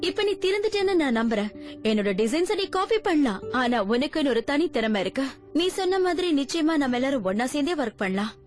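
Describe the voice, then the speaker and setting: A woman voicing a forgiving young fashion designer in a dubbed cartoon, speaking gently and reassuringly. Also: high voice, very animated, quick pace, soft background music.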